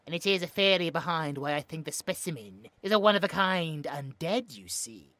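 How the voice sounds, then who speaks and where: A man's voice: fairly high pitch, expressive, pushed and bright, dry recording. A British man playing a smug, cruel mad scientist in a horror audio roleplay, with a mocking, snobbish manner. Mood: sinister, gloating. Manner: mocking, snobbish, drawn-out musing.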